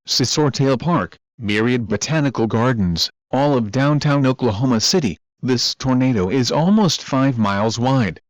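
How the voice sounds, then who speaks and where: A man's voice: medium pitch, dry recording. A man reading scripted weather emergency bulletins in a formal, automated-sounding delivery. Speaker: emergency alert announcer.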